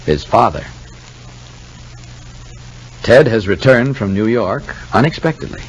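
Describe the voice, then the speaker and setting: Husky, low-voiced 1950s radio announcer man. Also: lively intonation, a little slow, rough recording. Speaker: narrator and announcer. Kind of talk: 1950s radio soap narration and sponsor announcing.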